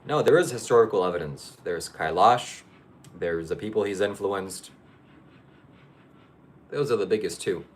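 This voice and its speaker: A man's voice: low-pitched, lively intonation, moderate pace, pauses often, slight background noise. A man from Ukraine, hosting a live stream and chatting casually with viewers in a rambling, hesitant way. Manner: rambling, hesitant, with many fillers and restarts. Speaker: livestream host.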